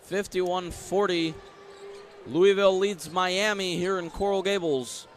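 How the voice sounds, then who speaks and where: A man doing live basketball radio play-by-play as the announcer, with excited bursts. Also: sits high, unhurried, a little hiss or hum. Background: basketball arena ambience, bouncing balls.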